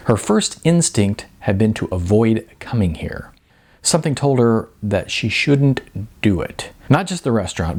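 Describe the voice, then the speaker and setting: A man reading aloud from his own novel in an expressive, wry storytelling voice. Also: fairly low pitch. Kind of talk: audiobook-style reading.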